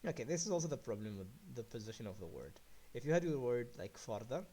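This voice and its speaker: Animated man coaching a video game. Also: medium pitch, fast talker. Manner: animated, breaks into loud excited yelps. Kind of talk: casual coaching chat over gameplay review.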